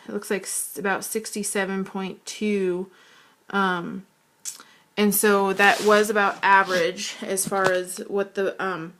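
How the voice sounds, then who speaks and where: Deep, hoarse woman with vocal fry. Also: fairly bright tone. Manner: hesitant, frequent fillers. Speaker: student. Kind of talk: casual video assignment to camera.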